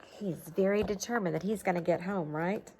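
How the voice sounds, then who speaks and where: A woman's voice: lowish voice, moderate pace, warm tone, a little hiss or hum. A woman reading a picture book aloud to children, adding simple encouraging explanations and checking questions as she goes. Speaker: storybook reader for children. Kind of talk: expressive picture-book read-aloud with chatty asides to young listeners.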